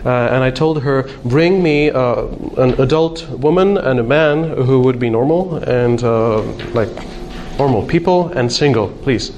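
A man's voice: mid-range voice, a little slow, darkish tone, slight background noise. A man from Slovakia, giving a conference talk with frequent hesitations and light self-deprecating humor. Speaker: conference speaker.

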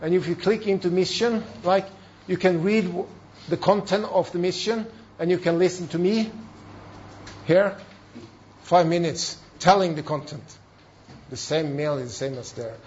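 A man with a Norwegian accent giving a seminar talk as a church planting trainer, in halting non-native English with emphatic repetition. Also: high-pitched, darkish tone, slight background noise. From Norway. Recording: dull, lo-fi sound.

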